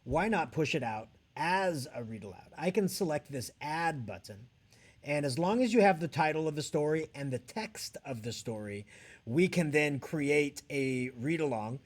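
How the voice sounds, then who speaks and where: A man presenting a step-by-step software tutorial as a trainer, talking over a screen recording. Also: fairly high pitch, expressive, moderate pace.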